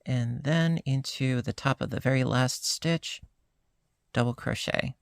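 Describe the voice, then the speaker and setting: A slightly hoarse crochet teacher walks through each stitch at a slow, unhurried pace. The voice has a gravelly fry and sits dry and close, with no room around it. The delivery stays calm and patient throughout. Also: medium pitch, dry recording. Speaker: crochet instructor. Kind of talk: step-by-step crochet tutorial.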